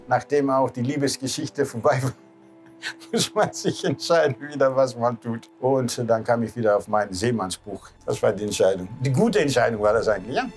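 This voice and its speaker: A man's voice: medium pitch, darkish tone. Man, 60s, Greek accent, non-native speaker. Ship's captain recalling his life in a casual interview, mid-range voice over music. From Greece. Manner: non-native German with loose grammar, often ending on a questioning "ja". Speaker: cruise ship captain. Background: background music.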